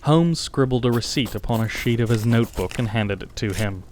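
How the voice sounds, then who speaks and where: Male narrator for a classic detective audiobook, medium pitch, literary read. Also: brisk, a little mellow, a little hiss or hum.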